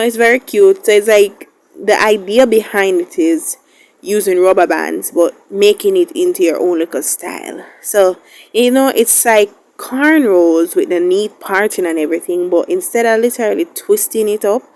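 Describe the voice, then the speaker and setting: A woman's voice: medium pitch, a little mellow. Female beauty vlogger, mid-range voice, informal and chatty, affectionate direct address to viewers. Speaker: beauty YouTuber, host. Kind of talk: casual chatty vlog talk to viewers.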